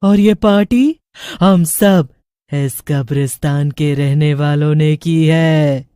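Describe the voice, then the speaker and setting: A woman voicing an angry, boastful witch in a horror story, taunting with drawn-out menace. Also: low-pitched, dark tone, clean tone, no room sound, clean studio sound. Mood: furious, menacing.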